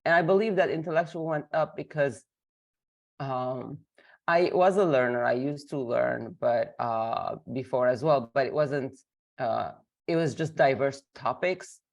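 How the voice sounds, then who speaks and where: A woman from Lebanon speaks as an interview guest over a video call, her voice worn by a week of illness. She talks in a halting, casual way, filling pauses with ums and uhs. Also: a bass voice, gravelly, darkish tone. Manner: hesitant, many fillers. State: sick, voice affected.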